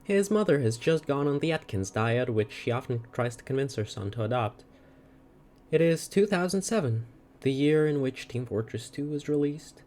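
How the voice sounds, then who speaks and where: A woman's voice: a bass voice, mellow. Woman narrating a comic dramatically.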